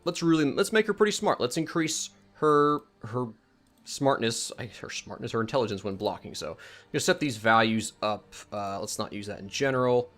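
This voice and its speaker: A man's voice: mid-range voice, lively intonation, normal speed, fairly bright tone, faint music behind. A man giving a casual, unscripted game demonstration, explaining each step and thinking aloud as he goes. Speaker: mod showcase presenter. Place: indoors at home, voice-over on gameplay.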